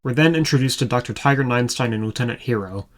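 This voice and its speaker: A man's voice: mid-range voice, fast. A man reading scripted commentary as a video reviewer, with a wry, sarcastic manner. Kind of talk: scripted commentary over show clips.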